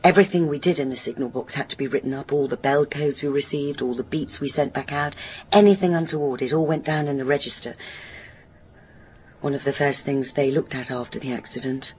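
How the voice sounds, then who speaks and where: A woman's voice: a bass voice, a little fast, darkish tone, a little hiss or hum. A woman playing a troubled, guilt-ridden woman in her fifties in a radio drama, hesitant and brittle. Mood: reflective. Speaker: actor in a radio play. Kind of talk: naturalistic radio drama acting, confessional.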